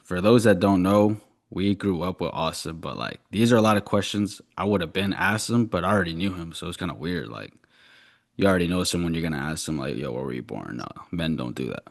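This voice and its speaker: A man's voice: low voice, expressive, fairly quick, vocal fry, no echo, studio quality. A young man speaking as a podcast host in a casual, slangy interview style. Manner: informal, slangy.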